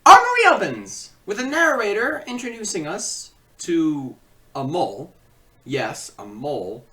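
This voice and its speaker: A man hosting a comedic video review, switching between theatrical announcing and halting casual asides. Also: medium pitch, sharp tone, distant mic. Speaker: online film reviewer and host.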